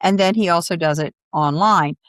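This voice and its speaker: A woman's voice: fairly low pitch, moderate pace, no echo, studio quality. A mature woman hosting a conversational interview, reacting with open interest and encouragement. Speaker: interview host.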